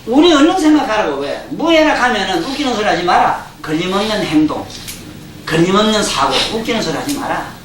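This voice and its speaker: A man's voice: sits high, sing-song, a little fast, fairly bright tone. Folksy Gyeongsang-accented older man lecturing. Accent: Gyeongsang dialect. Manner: folksy, joking, asks the audience questions. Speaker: Buddhist lecturer. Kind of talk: dharma lecture. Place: lecture hall. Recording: roomy hall, distant mic, faint hiss.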